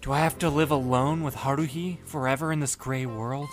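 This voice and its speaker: A man narrating as a deadpan, weary teenage boy. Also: medium pitch, brisk, soft background music, natural voice. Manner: deadpan, sarcastic. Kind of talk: first-person audiobook narration.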